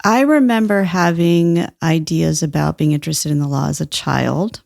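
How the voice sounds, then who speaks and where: Middle-aged female guest, heavy vocal fry, thoughtful and relaxed, close dry mic. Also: low-pitched, moderate pace, no room sound. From Brazil. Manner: thoughtful, warm, laughs easily. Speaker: guest, employment lawyer. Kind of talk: casual interview talk.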